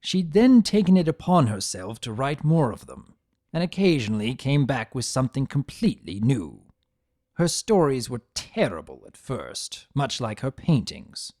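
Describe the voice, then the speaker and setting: A wry male chaos spirit, played by a story narrator, sardonic and chatty. He tells the pony tale in dry asides at a middling pitch with lively intonation. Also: moderate pace, no room sound.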